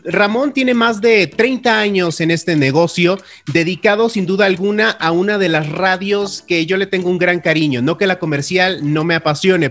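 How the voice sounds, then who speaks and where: A man with a Mexican accent hosting a radio show, presenting guests in a flowing, warm way. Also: high-pitched. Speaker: radio host.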